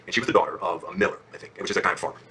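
A man playing an affectionate father who improvises a bedtime fairy tale in an informal, conversational way, checking in with his listener, his voice husky. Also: deep voice, fairly even intonation, rapid-fire, sharp tone, a little hiss or hum. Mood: animated storytelling. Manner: conversational, peppered with check-in questions. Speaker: storyteller. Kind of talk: animated, informal bedtime storytelling.

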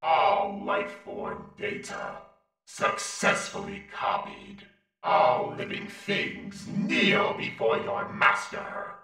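A man voicing a domineering robot overlord with a drawn-out, imperious delivery, heavily echoing. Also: high voice, sing-song, vocal fry, sharp tone, full character voice. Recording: heavily echoing, faint music behind.

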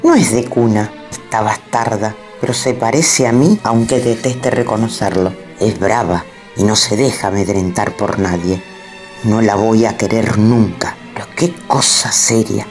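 A woman's voice: measured pace, a little mellow. A woman playing a haughty, snobbish aristocratic grandmother in a radio drama, speaking with a Rioplatense Spanish accent. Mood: grudging. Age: older. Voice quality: deep, disdainful. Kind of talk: online radio drama acting. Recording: faint music underneath.

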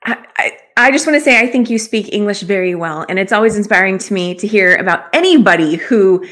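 A woman in her forties with a mid-pitched voice hosts a relaxed interview over a video call. She talks conversationally and affirms her guest with encouraging, thoughtful phrases. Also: brisk. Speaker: show host, confidence coach. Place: small room at home, on a video call.

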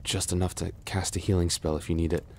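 A man playing a cursed, lovelorn young prince in a roleplay audio drama, halting and formal in his speech. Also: low voice, little pitch movement, slight background noise. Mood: weak, concerned. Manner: halting, breathy sighs and gasps, formal archaic phrasing.

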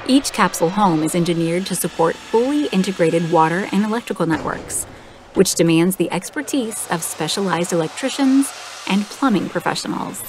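A woman reading a scripted documentary voice-over in a smooth, measured, polished way. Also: fairly low pitch, animated, background noise. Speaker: documentary narrator. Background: background music.

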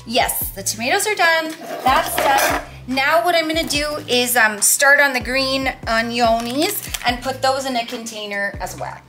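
A woman's voice: high-pitched, unhurried, hardly any pauses, over music. A woman in her thirties chatting casually as she walks through her meal prep in a home kitchen, upbeat with cutesy words. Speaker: vlogger.

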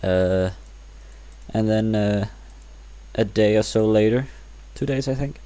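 A man's voice: on the low side, slow pace, clear, resonant tone. A man co-hosting a gaming channel, chatting casually while playing and often losing his train of thought. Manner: rambling, soft-spoken, loses track.

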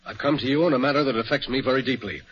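A man's voice: medium pitch, rapid-fire, lightly acted. An actor playing an earnest, insistent stockbroker father in a 1940s radio drama. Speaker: client. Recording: dull old radio broadcast.

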